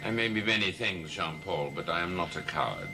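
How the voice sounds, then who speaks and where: An actor playing a middle-aged, scheming blackmailer, coldly confident, in a gothic soap opera with an assertive, theatrical delivery. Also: male voice, deep voice, lively intonation, a little fast, music in the background. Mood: cold, threatening.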